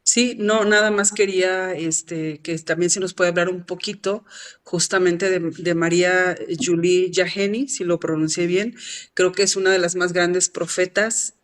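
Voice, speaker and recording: A man's voice: sits high, fairly even intonation, unhurried, a bit bright, clean tone. A man interviewing a guest, polite and brief, with short backchannel replies. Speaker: interviewer host.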